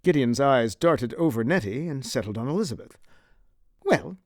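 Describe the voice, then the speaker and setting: A man's voice: mid-range voice, lively intonation, fairly quick, a little mellow, dry recording, clean studio sound. A man reading scripted literary narration as an audiobook narrator.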